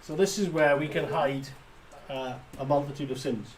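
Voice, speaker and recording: A chatty man with a slightly high voice, talking loosely and laughing a lot. He sits a little back from the mic, with a faint hiss of room noise behind him. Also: normal speed, distant mic, rough recording. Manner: chatty, laughs often, says "you know what I mean". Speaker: livestream host. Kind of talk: casual chat during a model build.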